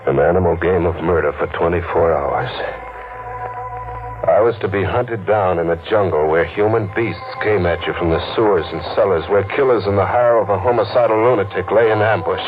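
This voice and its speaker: A man's voice: deep voice, a little fast, close to an everyday voice. Guy doing a hunted man narrating, tense and urgent, old muffled radio, music behind. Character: hunted desperate male narrator hero. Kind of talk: 1940s radio acting.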